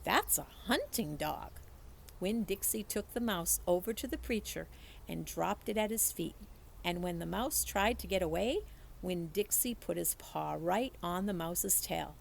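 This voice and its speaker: A woman reading a children's story aloud in an expressive, animated storytelling manner. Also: lowish voice, measured pace, creaky, slight background noise, low-quality recording. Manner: animated storytelling, acts out dialogue. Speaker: teacher reading a book aloud. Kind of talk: expressive children's audiobook-style read-aloud.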